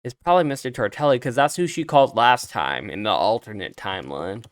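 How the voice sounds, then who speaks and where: A man giving casual, animated game commentary as a let's play streamer, reacting aloud to the story. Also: medium pitch, brisk. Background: game music.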